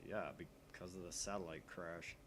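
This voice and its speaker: A man's voice: low-pitched, flat delivery, moderate pace, noisy background, pitched below the performer's own voice, natural voice. A man voicing a laid-back, skeptical young friend in a visual novel, speaking matter-of-factly. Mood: puzzled.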